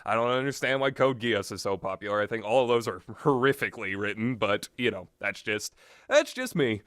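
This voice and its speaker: A man's voice: on the low side, big pitch swings, fairly quick, a bit bright, dry recording, studio quality. A man giving a casual, unscripted, rambling review of visual novels, blunt and opinionated, often backtracking and going off on tangents.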